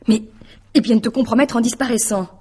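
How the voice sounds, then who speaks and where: An actress playing a suspicious mistress in a French radio mystery drama, probing and sarcastic with a husky voice. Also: female voice, on the high side, a little fast, warm tone. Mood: anxious, probing. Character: sharp, suspicious mistress pressing her lover for truth.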